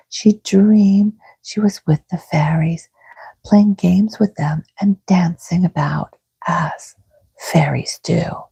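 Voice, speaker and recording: A woman reads a bedtime story in a very breathy near-whisper with a low, soft pitch. She takes her time, gentle and soothing, in an ASMR style. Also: no room sound. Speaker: host reading bedtime stories.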